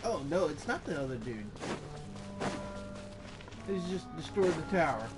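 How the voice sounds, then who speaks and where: Young man, high voice, casual gameplay commentary, rambling, loud game music behind. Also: big pitch swings, unhurried, low-quality recording. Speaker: gaming video host.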